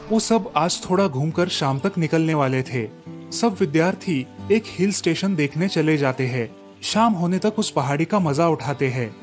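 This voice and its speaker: A man narrating a cartoon story with a steady storytelling delivery. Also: on the high side.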